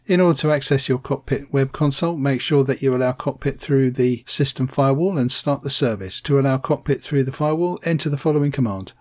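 A man reading a scripted software tutorial voice-over, plain and steady, spelling out commands slowly. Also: mid-range voice. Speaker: tech tutorial narrator.

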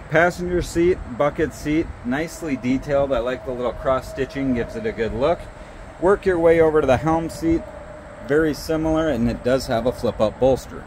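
A man's voice: medium pitch, slight background noise. A man walking through a boat on a dealership lot outdoors, upbeat and listing features one by one. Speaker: boat salesman giving a walkthrough. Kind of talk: product walkthrough.

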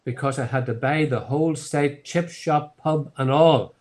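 A man's voice: fairly high pitch, measured pace, a little mellow. Chatty older Northern Irish man. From Northern Ireland. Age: 70s. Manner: rambling storyteller with many fillers and restarts. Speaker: whiskey distillery founder. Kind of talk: online tasting conversation. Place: home office. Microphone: laptop webcam on video call.

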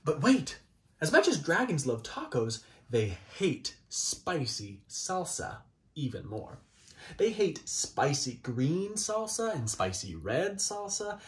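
Male storybook reader, thirties, hugely varied pitch, playful and theatrical delivery for young children. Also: wildly swooping pitch, takes it slowly, slight background noise. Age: 30s. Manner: theatrical, exaggerated, playful delivery with swoops and dramatic gasps. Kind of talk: animated children's picture book read-aloud. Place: small child's room indoors.

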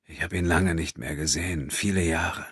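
Man acting as guilt-ridden father, very deep, heavily rasping husk, halting, trembling, bitter, audiobook drama. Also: steady tone, a bit bright, no room sound, clean studio sound, heavily acted. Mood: bitter, self-hating. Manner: halting, trembling, low-voiced.

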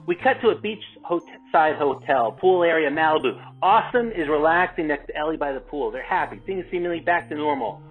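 Casting: male narrator for script directions, slightly high voice, phone-like audio, light music under. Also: lively intonation, moderate pace, hardly any pauses. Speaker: narrator reading stage directions. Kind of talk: scripted narration at table read.

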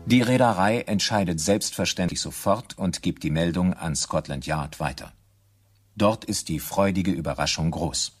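A man's voice: low-pitched, moderate pace, slightly rough, soft background music. A man as a sober, drily ironic narrator in a scripted German radio drama. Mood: dry.

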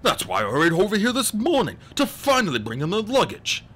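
A man voicing a stern, pompous gentleman who admonishes people fussily in an audiobook story. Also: high-pitched, huge pitch swings, fairly quick, pitched above the performer's own voice, exaggerated voice. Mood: admonishing. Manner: pompous, admonishing, throat-clearing. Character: fussy, stern, pompous adult gentleman, easily offended.

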